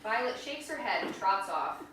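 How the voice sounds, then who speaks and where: A woman's voice: medium pitch, animated, moderate pace, a bit bright. A woman reading stage directions as scripted narration at a staged play reading. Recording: very echoey hall, distant mic, faint noise.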